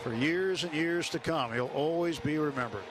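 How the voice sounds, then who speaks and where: A man's voice: a little high, moderate pace. A man giving baseball commentary as a radio broadcaster. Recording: noisy ballpark radio broadcast.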